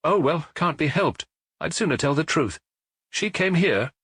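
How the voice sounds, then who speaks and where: A man voicing a surly, rough sailor with a broken tooth in a detective audiobook, blunt and defiant. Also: on the high side, lively intonation, measured pace, fairly bright tone, dry recording, studio quality, voice pushed up, heavily acted. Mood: startled, grudging.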